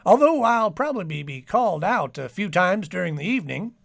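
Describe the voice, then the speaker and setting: A man's voice: sits high, sing-song, fairly quick, gravelly, a bit bright, no room sound, studio quality, full character voice. A man playing a jovial, teasing doctor in an old-time radio comedy, wordy and wry. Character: jovial, teasing male doctor and family friend.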